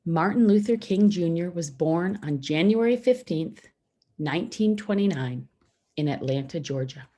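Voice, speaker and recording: A woman reading a children's picture book aloud, phrasing it simply and deliberately with pauses for young listeners. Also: fairly low pitch, animated, mellow. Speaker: storybook reader for schoolchildren.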